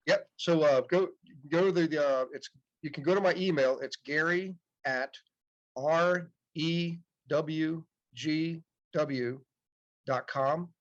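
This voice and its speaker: A man's voice: on the high side, slow pace. A man in his late fifties, a podcast guest telling stories in a casual, chatty way, often answering "yep". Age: 50s. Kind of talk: casual storytelling interview. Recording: dull, muffled audio.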